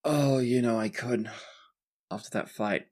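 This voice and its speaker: A player acting out an easygoing male fantasy fighter in a tabletop game. He is plain-spoken and protective, with a gentle teasing streak, in a mid-range voice. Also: medium pitch, measured pace, lightly acted.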